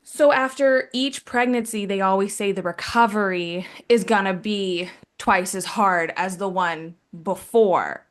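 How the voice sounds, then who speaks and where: A woman's voice: medium pitch, unhurried, hardly any pauses. A woman in her early thirties hosting a casual chat, curious and asking lots of questions. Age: 30s. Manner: curious, asks many questions. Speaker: podcast host.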